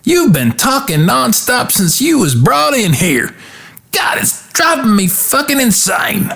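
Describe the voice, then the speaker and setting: An actor playing an exasperated, dutiful Old West jail deputy, rustic and blustering. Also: male voice, extremely high pitch, very animated, measured pace, creaky, fairly bright tone, husky, heavily acted. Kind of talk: Western audio drama acting.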